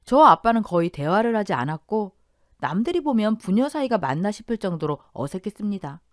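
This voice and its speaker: A woman hosting and reading a first-person family story aloud in an expressive, confiding way. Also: mid-range voice, a little fast, dry recording, clean studio sound. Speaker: host and storyteller.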